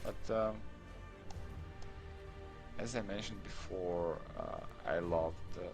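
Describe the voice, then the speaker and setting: Croatian guy explaining a game build, low voice, slow and halting, faint music behind. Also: lively intonation, vocal fry. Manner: halting, many fillers and restarts. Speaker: gaming tutorial creator. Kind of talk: game tutorial voice-over.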